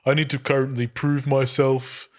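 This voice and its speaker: A man improvising as a player in a comedy tabletop roleplay, talking casually with a hesitant, halting delivery. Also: medium pitch, little pitch movement.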